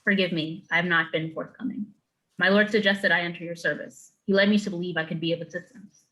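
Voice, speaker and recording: A reserved female samurai retainer, voiced by a woman in a dramatic reading. Her voice is on the low side, formal and guarded, with a sense of duty. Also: fairly even intonation, fast talker, a bit bright, close to an everyday voice, rough recording. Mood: earnest.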